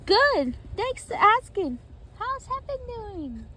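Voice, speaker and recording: A woman playing an excitable, plucky young female storybook heroine with an eager, squealing delivery. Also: extremely high pitch, slow, voice pushed up, heavily acted. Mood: cheerful.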